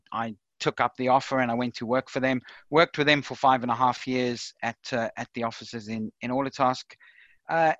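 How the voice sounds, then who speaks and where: A man's voice: mid-range voice, a bit bright, no echo. A middle-aged man with a South African accent, talking loosely as a podcast guest, full of fillers and repeated words. The recording is dry and a little dull. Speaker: podcast guest, software consultant. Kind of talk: casual interview chat.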